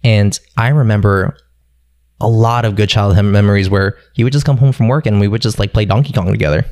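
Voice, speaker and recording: Gravelly laid-back young man. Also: lowish voice, fairly even intonation, a little fast, darkish tone, dry recording, studio quality. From Philadelphia. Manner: laid-back, swears casually, rambling. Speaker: guest. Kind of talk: casual conversation.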